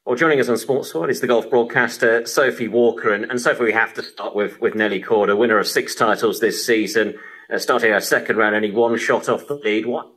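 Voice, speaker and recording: A man's voice: on the low side, steady tone, fairly quick, a bit bright, slightly rough, poor audio. A man presenting a live sports radio programme, heard over a shortwave broadcast.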